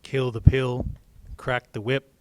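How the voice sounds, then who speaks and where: A man's voice: mid-range voice, little pitch movement, slow, a little mellow, no room sound. Man reading a story aloud. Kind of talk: read-aloud storytelling with casual asides.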